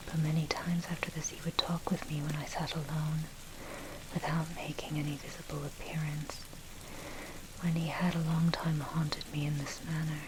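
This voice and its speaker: A woman reading dark history as ASMR in a breathy, unvoiced whisper, with a measured, scholarly delivery. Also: low voice. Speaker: narrator. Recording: steady loud rain noise over voice. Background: rain and thunder.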